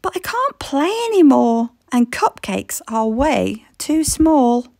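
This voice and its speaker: A wistful storybook girl who has grown into a giant, voiced by a woman. She sounds childlike and earnest, with a high pitch and very changeable melody. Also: big pitch swings, slow pace, vocal fry, darkish tone, no echo, studio quality, exaggerated voice. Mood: disappointed. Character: Ellie, wistful little girl turned giant.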